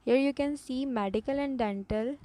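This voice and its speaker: A woman's voice: sits high, normal speed, dark tone, a little hiss or hum, rough recording. A woman with a Pakistani accent, a native Urdu speaker, giving an earnest, explanatory class presentation with some restarts.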